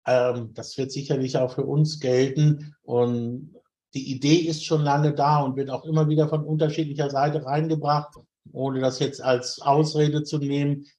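A man speaking as an interview guest, giving conversational answers in considered, measured phrasing. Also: on the high side, level tone, dark tone, muffled recording.

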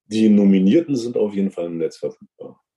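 Low dark-voiced guy explaining stuff on a podcast, careful and halting, long winding sentences. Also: lively intonation. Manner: deliberate, halting, wordy explanations. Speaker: podcast guest and radio drama award juror. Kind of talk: unscripted interview commentary.

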